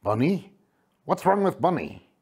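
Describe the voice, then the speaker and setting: A woman's voice: on the low side, big pitch swings, measured pace, slightly rough, full character voice. A woman voicing a nervous, bossy male garden bird in a children's story, given to bellowing pompous announcements. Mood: worried.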